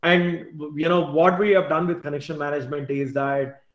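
A man's voice: on the high side, fairly even intonation, moderate pace. A man giving an informal slide presentation on a video call, often restarting his words and adding filler asides. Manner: repeats and restarts words, frequent "you know" asides. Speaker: tech team lead presenting at an online meetup. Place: bright room at home or small office, indoors. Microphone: computer mic on a video call. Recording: echoey room on video call.

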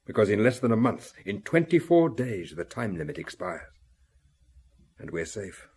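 A middle-aged family man in a radio play, weighed down by guilt. His voice is low and badly roughened, and he confesses in a grave, halting way. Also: big pitch swings, a little mellow, full character voice. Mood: desperate, strained. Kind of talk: radio drama acting.

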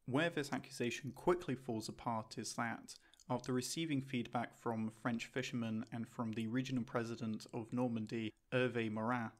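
A young man from Jersey in the Channel Islands presents a scripted explainer as the host of the video. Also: medium pitch.

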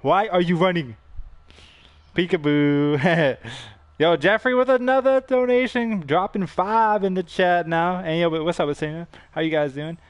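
A young man streaming a game from a room, giving excited, slangy commentary and thanking viewers for donations while his voice goes hoarse. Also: high voice, measured pace, no echo. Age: young adult. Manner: hype-driven, slangy, thanking donors between plays. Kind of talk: live gameplay commentary with chat shout-outs. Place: indoor gaming room.

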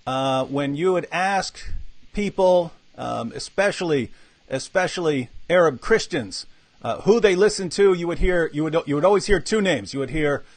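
A man's voice: a little high, lively intonation, normal speed, no room sound. A man in his forties hosting a live video-call interview from a room with bookshelves, talking energetically with many fillers and restarts. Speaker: host. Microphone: webcam video call.

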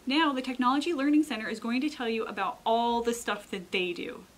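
A woman's voice: a little high, fairly quick. A woman in her thirties, a children's librarian, talks casually to kids, teaching through questions and frequent check-ins. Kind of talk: casual explanatory talk to children. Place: indoor library children's room.

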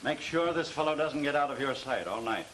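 An actor playing a suspicious, commanding outlaw boss in an old western film, giving terse, businesslike orders. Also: male voice, mid-range voice, lively intonation, a little fast, fairly bright tone, close to an everyday voice, low-quality recording. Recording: hissy old film soundtrack.

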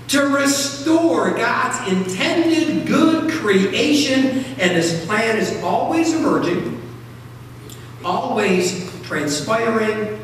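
A middle-aged American man preaching a sermon as a pastor, phrasing emphatically and deliberately with dramatic pauses. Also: very high voice, fairly bright tone. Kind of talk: sermon from a pulpit. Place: large church sanctuary, at pulpit. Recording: very echoey church hall, distant mic, noisy.

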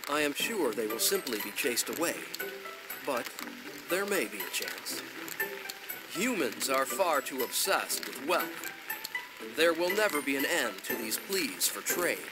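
An actor voicing a stern, haughty elf lord in a video game, formal, authoritative and disdainful. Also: male voice, medium pitch, sing-song, a little slow, low-quality recording. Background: loud music.

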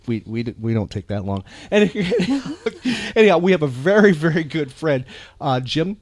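An American man hosts a podcast with a very animated, emphatic delivery. His talk is casual and folksy, rambling along, recorded close and dry. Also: on the high side, unhurried, dry recording. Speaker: podcast host.

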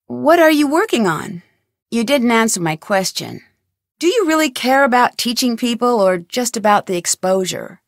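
A woman's voice: a little high, no echo, studio quality. A woman voicing a curious, blunt young female detective in a video game, asking direct, probing questions.

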